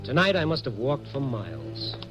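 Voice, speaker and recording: An actor playing a wry, streetwise American reporter, narrating a 1950s radio noir drama in dramatic style over a musical score. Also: male voice, medium pitch, wildly swooping pitch, normal speed, music in the background. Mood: brooding, wry. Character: hard-boiled, wry male Chicago night-beat newspaper reporter. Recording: vintage 1950s radio broadcast recording.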